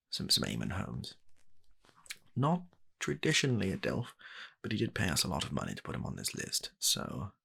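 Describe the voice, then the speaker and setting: A British man softly playing a kind, polite matchmaker in an ASMR roleplay, hesitant and courteous, with a breathy, gravelly voice close to the microphone. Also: deep voice, voice pushed up, heavily acted. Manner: hesitant, courteous, reassuring salesman patter. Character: kind, polite British gentleman running a dating agency. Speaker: ASMR performer.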